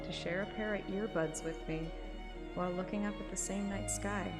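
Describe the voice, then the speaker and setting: A woman's voice: medium pitch, moderate pace. Playful woman streaming over game music. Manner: playful, expressive, swears freely. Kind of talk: casual live reaction and reading game dialogue aloud.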